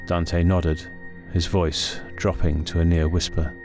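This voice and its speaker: A man with an extremely deep voice reads a horror story. His delivery is scripted, measured and full of suspense. Prominent music runs under the narration. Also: flat delivery.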